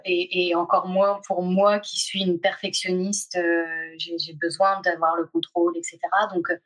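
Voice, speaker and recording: A woman guest answering a question reflectively, in halting speech with many fillers and pauses. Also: on the low side, level tone, a bit bright, low-quality recording. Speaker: guest, a reader of the book. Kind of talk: casual interview answer.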